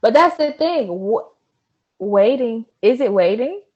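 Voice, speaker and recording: Casting: twenties woman guest, easygoing, laughs often, muses out loud. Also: fairly high pitch, lively intonation, slow, muffled recording. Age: 20s. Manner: laid-back, laughs often, thinks out loud. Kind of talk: casual chat.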